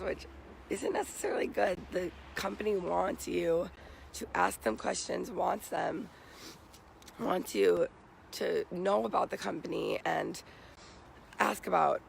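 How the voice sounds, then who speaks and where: Raspy, creaky young American man. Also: sits high, lively intonation, unhurried, slight background noise, rough recording. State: morning hoarseness. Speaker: vlogger giving job interview tips. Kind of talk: casual advice vlog. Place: outdoors.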